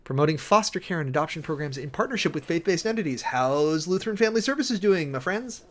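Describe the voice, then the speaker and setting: Theatrical man with sweeping, animated pitch. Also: quick pace. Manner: theatrical, emphatic, digressive, with sardonic asides. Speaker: host, preacher. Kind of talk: dramatic reading of a government document with commentary.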